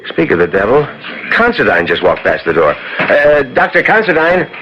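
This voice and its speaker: An actor playing a harried, wisecracking emergency room doctor in a radio drama, wry and hurried. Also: male voice, mid-range voice, very animated, lightly acted. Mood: weary, urgent. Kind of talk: old-time radio drama acting. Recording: muffled, noisy old radio recording.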